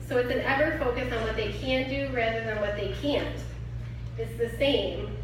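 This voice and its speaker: A woman giving an earnest, storytelling speech as head of an arts nonprofit at a fundraising event. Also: on the high side. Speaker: executive director of an arts nonprofit. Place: large event hall. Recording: echoey hall, distant from the mic, noisy.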